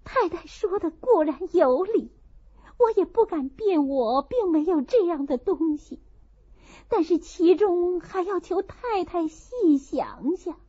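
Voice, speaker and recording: Young storybook wife, played by a woman: very high, animated, anxious self-defence, slightly dull recording. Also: slow, exaggerated voice. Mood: pleading, anxious. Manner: earnest, tearful pleading. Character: young married noblewoman, anxious, pleading, defensive.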